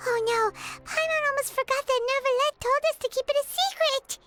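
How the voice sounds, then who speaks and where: A woman voicing a tiny, childlike, excitable fairy companion with a squeaky voice in an anime-style video game. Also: a little fast. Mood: flustered. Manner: speaks of herself in the third person, exclamatory. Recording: loud game music behind the voice.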